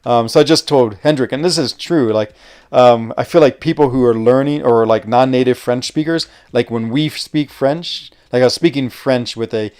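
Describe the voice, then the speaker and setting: Young American male streamer: resonant, mid-pitched, casual and rambling. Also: normal speed, darkish tone. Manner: rambling, chatty, hesitant with fillers. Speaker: gaming livestream host. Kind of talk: casual live-stream chat while playing.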